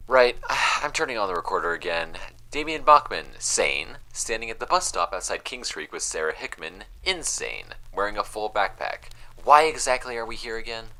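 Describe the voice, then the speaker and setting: Low, sardonic, grumbling young man, acted. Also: sing-song, moderate pace, few pauses, bright, forceful tone, exaggerated voice, rough recording. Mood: irritated, dry. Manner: dry, sarcastic, grumbling. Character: sardonic, weary, skeptical young man dragged into investigating. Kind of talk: audio drama dialogue.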